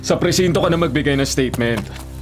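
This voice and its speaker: A man playing a firm, unhurried police officer in a radio drama. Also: fairly high pitch, lightly acted. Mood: stern. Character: firm male police officer arresting a suspect. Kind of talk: Filipino radio drama acting. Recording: prominent music behind the voice.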